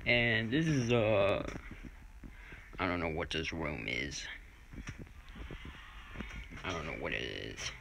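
The kind of man you'd hear on a noisy homemade phone video, slowly and hesitantly showing you room after room in a low voice. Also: expressive, gravelly. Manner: hesitant, halting, unsure. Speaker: vlogger giving a house tour. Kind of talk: casual improvised home tour narration. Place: indoors, moving through rooms of a house. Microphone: handheld phone or camera mic. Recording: noisy handheld home video, clicks.

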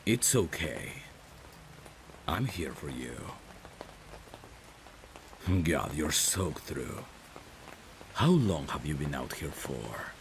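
A man's voice: a bass voice, big pitch swings, drawn-out words, gravelly, long pauses, background noise. A man acting a romantic ASMR roleplay as a caring, protective friend, speaking tenderly and intimately. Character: caring, protective male friend secretly in love. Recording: rain ambience behind the voice. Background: rain.

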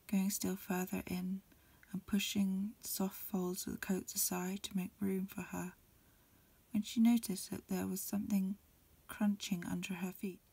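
Breathy whispering woman reading a story, creaky. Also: medium pitch, little pitch movement, a little slow, dark tone. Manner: hesitant reading with stumbles and repeats. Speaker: amateur reader. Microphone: close recording, lo-fi home setup.

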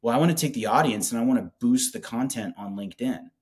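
A man in his forties making a casual, enthusiastic product pitch in conversation, speaking colloquially on a video call. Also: animated, quick pace. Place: small office with whiteboard, indoors.

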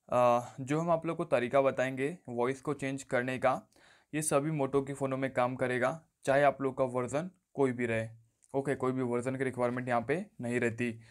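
Man giving a step-by-step phone tutorial. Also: mid-range voice. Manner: instructional, often repeats stock phrases. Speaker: tech tutorial presenter. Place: voice-over on a phone screen recording.

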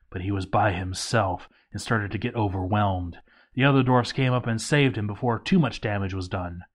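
A man with a mid-pitched voice narrates a fantasy game chronicle. He reads it as scripted storytelling, with a dramatic delivery.

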